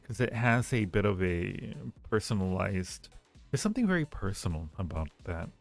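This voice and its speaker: A man hosting a casual livestream, answering listener questions in a halting, rambling, reassuring way. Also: sits low, sing-song, creaky, faint music behind. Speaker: livestream host giving advice.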